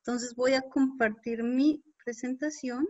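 A Colombian woman with a fairly high voice presents and explains in an online talk. She goes a little hesitantly, doubling back on words. The sound is muffled, lo-fi video call audio. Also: fairly even intonation, a little slow. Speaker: guest speaker, urban designer. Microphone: video call microphone.

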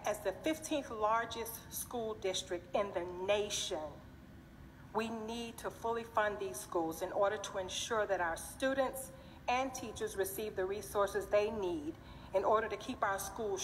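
Woman reading a statement at a podium. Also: mid-range voice. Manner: reads steadily from notes at a podium. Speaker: PTA council president. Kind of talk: prepared public hearing statement. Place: large public meeting chamber, indoors. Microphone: podium microphone, filmed from the audience. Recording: reverberant hall, faint background noise.

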